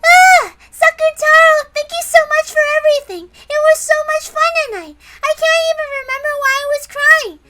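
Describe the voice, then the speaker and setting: A woman's voice: extremely high pitch, moderate pace, few pauses, pushed and bright, pitched above the performer's own voice. A woman voicing a cheerful, innocent young girl with a gushing, childlike manner, reading a visual novel in character. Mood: gushing affection.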